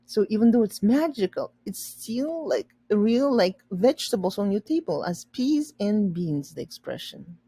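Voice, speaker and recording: A woman teacher reading a storybook aloud, pausing to explain and rephrase words simply for learners. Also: mid-range voice, very animated, mellow, slightly rough.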